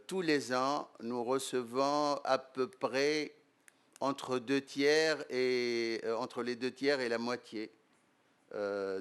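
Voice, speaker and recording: Measured Tunisian man, smooth voice. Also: medium pitch. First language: Arabic. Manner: measured, hesitant with many fillers. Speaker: guest professor and writer. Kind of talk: conference interview answers. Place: lecture room.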